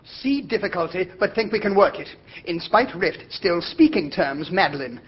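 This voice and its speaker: A man's voice: very animated, normal speed, slightly rough, full character voice. A man playing an anxious, fussy young man who talks in a clipped, telegram-style way. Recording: dull lo-fi recording with faint rumble.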